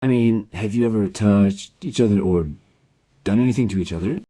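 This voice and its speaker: An actor playing a patient, probing police detective in an audio drama, gently insistent in manner. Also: male voice, fairly low pitch, dry recording, natural voice, rough recording. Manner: measured, gently insistent questioning.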